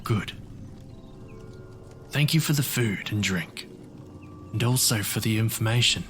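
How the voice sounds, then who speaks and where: A man playing a courteous, self-assured magical wanderer who collects stories, speaking in a measured, formal way in an audio drama. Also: lowish voice, fairly bright tone, soft background music.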